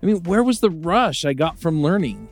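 A man reading a scripted ad in an upbeat, lively way. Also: moderate pace. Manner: upbeat pitch, lively delivery. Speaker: advertiser. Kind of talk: scripted ad read. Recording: light music underneath.

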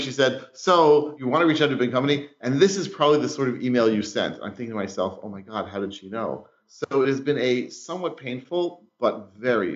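Podcast co-host: man, lowish voice, thoughtful and self-mocking storyteller. Slightly dull sound. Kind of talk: casual podcast chat.

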